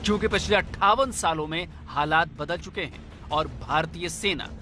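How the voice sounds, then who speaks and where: A man's voice: fairly high pitch, normal speed, pushed and bright, soft background music. A man delivering a scripted news report as a reporter, in an emphatic, dramatic way.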